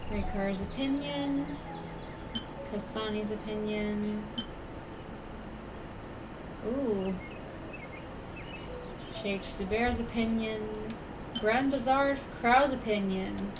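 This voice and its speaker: A woman's voice: medium pitch, very slow, long pauses, noisy background. A woman live-streaming a game, reacting casually with wry, opinionated asides.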